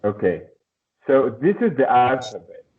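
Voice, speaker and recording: A man's voice: medium pitch, sing-song, unhurried. A man teaching a one-on-one language lesson over a video call, encouraging and often repeating his words. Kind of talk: online lesson conversation. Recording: tinny phone-like video call audio.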